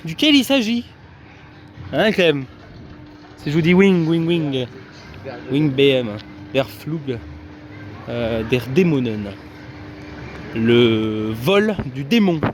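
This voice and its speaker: A man's voice: fairly high pitch, animated, slow, long pauses, slight background noise. A man casually vlogging from a busy theme park, chatting to his viewers with lots of fillers. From France. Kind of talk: casual vlog commentary.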